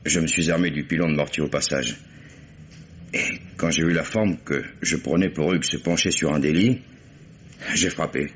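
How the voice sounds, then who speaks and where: A man's voice: rumbling low, moderate pace. A man playing a remorseful, jealous husband confessing in grave, formal French radio drama style. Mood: grave confession. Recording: dull, noisy old radio recording.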